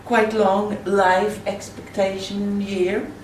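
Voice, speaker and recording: A Swedish woman with a Swedish accent, speaking halting non-native English in a slide presentation at a conference. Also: mid-range voice, flat delivery, darkish tone. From Sweden. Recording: roomy, distant mic with faint hiss.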